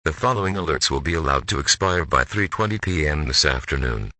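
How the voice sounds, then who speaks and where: A man's voice: rumbling low, lively intonation, a little fast, sharp tone, dry recording. A man's synthetic text-to-speech voice reading a scripted emergency broadcast announcement in a formal manner as an alert announcer.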